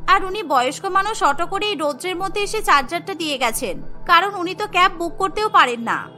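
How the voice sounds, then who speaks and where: A woman's voice: very high voice, expressive, fairly quick, bright, forceful tone, soft background music, full character voice. A woman voicing a polite, deferential office receptionist in a cartoon, explaining things respectfully.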